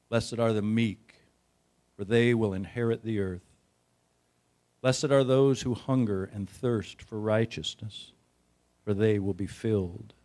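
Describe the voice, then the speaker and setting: A man speaking as a pastor at a church service, measured and deliberate as he reads and introduces. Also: on the low side, a little mellow. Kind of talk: scripture reading and church introduction.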